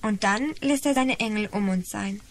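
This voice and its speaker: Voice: medium pitch, normal speed, close to an everyday voice. A performer playing an inquisitive, sceptical schoolgirl who talks insistently in a children's radio play. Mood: earnest. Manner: questioning, insistent. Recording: noisy radio play with birdsong.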